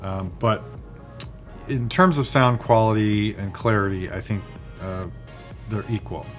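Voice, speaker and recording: Low-voiced man giving a casual review. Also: measured pace, faint music behind. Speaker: product reviewer, video host. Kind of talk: casual unscripted product review.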